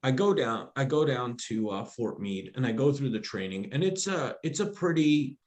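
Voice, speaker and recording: An animated man guest in casual conversation, spinning a long personal story. He laughs easily and stumbles into quick restarts. His voice sits at an ordinary middle pitch. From Afghanistan, raised in the United States. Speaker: podcast guest, entrepreneur.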